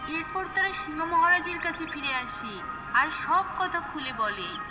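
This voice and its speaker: A woman's voice: sits high, measured pace. A woman reading scripted narration for a children's cartoon story. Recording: echoey, distant, under loud music.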